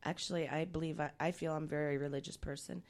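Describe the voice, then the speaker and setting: A woman's voice: low voice, steady tone, fast talker, a little mellow. A woman, co-hosting a radio show, telling stories with frequent giggles. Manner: giggly, storytelling. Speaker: radio co-host. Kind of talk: casual radio banter.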